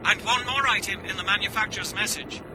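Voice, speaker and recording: An actor playing an authoritative flight controller in a radio drama, speaking formally with deliberate emphasis. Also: male voice, sharp tone. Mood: firm, instructing. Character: authoritative male flight controller giving careful emergency instructions. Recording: noisy, with aircraft rumble.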